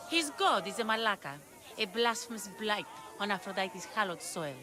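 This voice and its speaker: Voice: mid-range voice, lively intonation, normal speed, crowd noise, close to an everyday voice. A performer playing a stern, scornful priestess in a video game, haughty and declamatory. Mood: haughty, cold. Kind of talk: video game cutscene acting.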